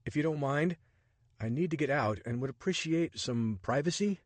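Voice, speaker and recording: A man's voice: on the high side, expressive, normal speed, dry recording, pitched above the performer's own voice. A man reading a story voices a flustered, sarcastic American adventurer who is defensive and exasperated. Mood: prim.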